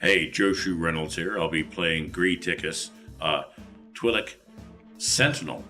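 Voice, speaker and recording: A man with a deep but bright, forceful voice plays a tabletop game. He talks casually, with faint music behind him. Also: unhurried. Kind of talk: casual character introduction and table talk.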